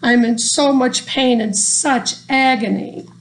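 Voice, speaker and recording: A woman's voice: high-pitched, slow, slight background noise, rough recording. A woman voicing a small male seed from a children's storybook, in a pleading, dramatic manner. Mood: frightened, pleading.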